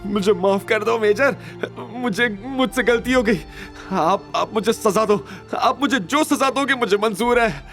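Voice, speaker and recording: Stammering, high-pitched terrified gangster henchman. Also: unhurried, hardly any pauses, fairly bright tone, faint music behind. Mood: fearful pleading. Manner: stammering, pleading. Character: frightened male gangster henchman, grovelling and begging for mercy. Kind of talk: audio drama character acting.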